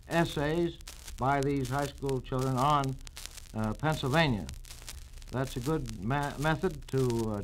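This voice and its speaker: A man giving formal, halting answers in a radio interview, pausing hesitantly often. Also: medium pitch. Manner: halting, hesitant with many pauses. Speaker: state campaign chairman, company president. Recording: noisy, clicking 1940s radio transcription disc.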